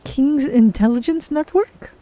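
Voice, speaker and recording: A woman's voice: high voice, a little slow, dark tone. A woman voicing a curious, naive cat-folk adventurer, musing aloud in careful, formal phrases as she plays a game. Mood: inquiring. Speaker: streamer voicing own game character. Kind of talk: in-character game playthrough narration.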